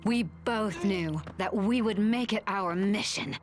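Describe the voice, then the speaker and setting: A woman's voice: medium pitch, unhurried, a little hiss or hum, lightly acted. An actress playing a tough, wry woman survivor, narrating a video game story with dramatic flair and dry humour. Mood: solemn, determined. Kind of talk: scripted first-person cutscene narration. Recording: music behind the voice.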